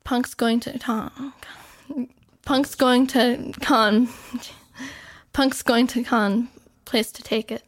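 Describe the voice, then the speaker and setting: A woman's voice: a little high, fairly even intonation. A woman recording voice lines and repeating a tricky phrase over and over. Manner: repeats a tongue-twister line slowly. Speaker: voice actor.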